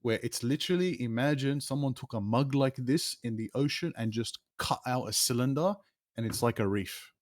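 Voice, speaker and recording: A young man talks casually and animatedly, tossing in "bro" and laughing often. His voice sits at a middling pitch. It is recorded dry and close, with no room sound. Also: moderate pace, dry recording. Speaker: streamer guest. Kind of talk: casual chat.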